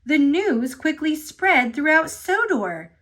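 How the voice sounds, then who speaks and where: A high-voiced woman narrates a children's picture book. She takes her time over each phrase and swings her pitch expressively. The delivery is dramatic and shaped for small children. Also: very animated, a bit bright. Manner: dramatic, deliberate phrasing for young listeners. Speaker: storybook reader.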